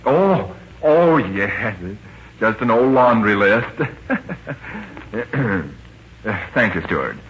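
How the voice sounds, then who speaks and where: A man's voice: mid-range voice, very animated, drawn-out words, long pauses. An actor playing an exasperated young male ship passenger in 1940s radio style, stammering and blustering his way along. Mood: groggy, evasive. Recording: muffled, hissy old radio recording.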